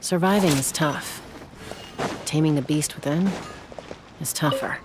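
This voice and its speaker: A woman playing a grim, brooding fighter, delivering measured, dramatic lines like a game trailer. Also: deep voice, background noise.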